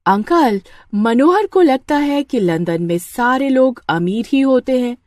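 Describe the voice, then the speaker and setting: A woman's voice: high-pitched, lively intonation, measured pace, a little mellow, no room sound. A woman voicing a cheerful, earnest young English bride who mixes English phrases into her Hindi in a moral story. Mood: earnest, hopeful. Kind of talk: animated moral story dialogue.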